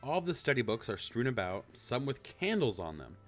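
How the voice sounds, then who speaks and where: A man's voice: medium pitch, big pitch swings, fairly quick, soft background music. A man giving casual, rambling let's play commentary and reading a visual novel aloud, easily amused. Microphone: handheld microphone on a cord.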